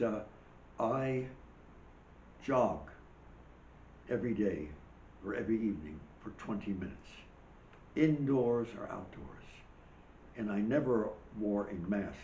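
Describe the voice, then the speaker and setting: A man's voice: on the low side, a little mellow, a little hiss or hum. An older man hosting a monologue show, rambling with emphatic, wry asides while losing his voice. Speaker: show host. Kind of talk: monologue commentary. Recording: dull echoey room recording.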